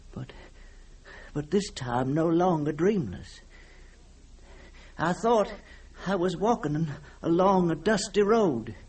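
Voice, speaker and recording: An actor playing a haunted, guilt-ridden man who recounts a nightmare in a halting, stammering, theatrical way, as in an old-time radio mystery. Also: on the high side, darkish tone, slightly rough. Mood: brooding, halting. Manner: halting, stammering, theatrical delivery with repeated words. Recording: old radio drama with music underneath.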